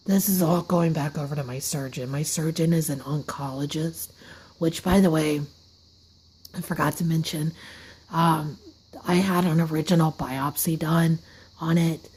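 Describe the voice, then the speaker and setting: A hoarse woman with an airy, breathy voice, telling a casual story-time monologue. She talks haltingly, runs short of breath, and her words often fade into a whisper. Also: low voice, a little mellow, low-quality recording. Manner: slips into unvoiced whispers mid-sentence. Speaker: vlogger. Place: seated at a table indoors.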